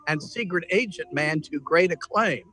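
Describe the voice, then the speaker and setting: A man's voice: on the high side, normal speed, a bit bright, no echo. A man in casual, jokey chat on a group video call. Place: video call from another event.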